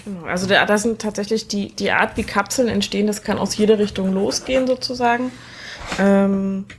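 A woman's voice: medium pitch, fairly quick. Woman chatting casually over background noise. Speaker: podcast co-host. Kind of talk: casual group podcast talk.

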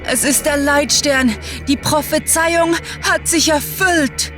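An ecstatic old preacher of a village sect, acted by a woman narrator, proclaims in slow, stretched phrases. The pitch is lifted in rapture, as in a storybook sci-fi tale, with music clearly behind. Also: steady tone. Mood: rapturous. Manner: exalted, drawn-out proclaiming.

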